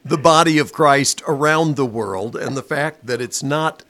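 A man's voice: medium pitch, measured pace. A man from western North Carolina hosting an interview, affirming his guest and telling stories of his own. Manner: warm affirming interjections, storytelling. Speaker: interview host. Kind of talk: conversational interview.

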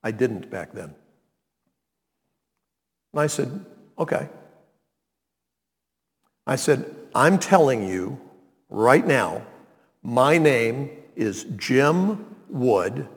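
A man, preaching a sermon and reading scripture, deliberate and emphatic. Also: medium pitch, sing-song. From North Carolina, US. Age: 90s. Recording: long reverb of a large hall.

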